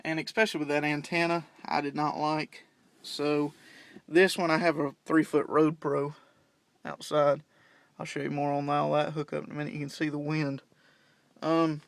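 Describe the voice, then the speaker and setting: A man's voice: a little high, creaky. A young man giving a casual, plain-spoken, unscripted how-to walkthrough, explaining things step by step. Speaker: CB radio hobbyist giving a demonstration. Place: in and around parked vehicles at home.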